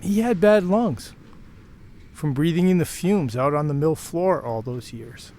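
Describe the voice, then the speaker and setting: A man's voice: a little high, measured pace, dark tone, natural voice. A man playing a frank, protective married mill worker and former lover in an audio drama, talking in a plain, reassuring, conversational way. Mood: frank, consoling.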